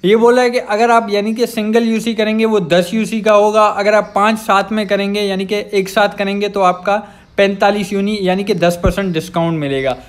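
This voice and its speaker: High-pitched young Pakistani man explaining a game. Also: fairly even intonation, normal speed. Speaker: gaming channel host. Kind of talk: casual gameplay explainer voice-over.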